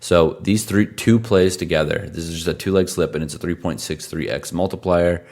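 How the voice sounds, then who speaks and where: Hoarse, very deep-voiced tired man. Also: fairly even intonation, normal speed, a little mellow. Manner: conversational, rattles off stats. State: hoarse, losing his voice; tired from travel. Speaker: sports picks host. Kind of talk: casual sports betting analysis.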